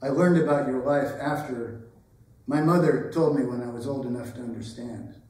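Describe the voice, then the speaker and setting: A man's voice: medium pitch, a little mellow, close to an everyday voice. Subdued, earnest grown man played by an actor, echoing room. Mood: subdued, grieving. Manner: halting, earnest, understated. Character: subdued grown former pupil, tender, regretful man. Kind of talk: dramatic stage reading. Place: indoor arts center stage. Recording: roomy, distant stage recording.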